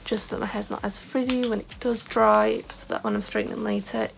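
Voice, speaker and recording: A woman's voice: on the high side, fairly even intonation, fairly quick, creaky. A young woman vlogging in a casual, rambling way, full of "like" fillers, with a scratchy voice today. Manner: chatty, rambling, many "like" fillers. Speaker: beauty vlogger. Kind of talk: casual chat to camera.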